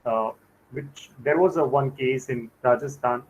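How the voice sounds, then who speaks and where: A man from India leads a live online class, explaining each point slowly and pausing often on fillers. His mid-pitched voice arrives a little muffled, as if through a video call. A faint hiss sits under it. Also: a little mellow. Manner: slow, halting delivery with frequent "uh" fillers. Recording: dull lo-fi call audio with faint noise.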